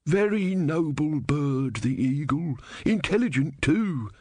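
A man's voice: fairly high pitch, lively intonation, takes it slowly, darkish tone, slight background noise, pitched above the performer's own voice. A man voicing an elderly, long-winded know-it-all who talks in a boring, unstoppable drone. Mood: pedantic.

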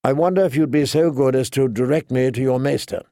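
A man voicing a composed, sober noblewoman who speaks in a measured, formal, cautioning way in an audiobook. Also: mid-range voice, warm tone, no room sound, studio quality, lightly acted. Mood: courteous, guarded. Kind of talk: audiobook character dialogue.

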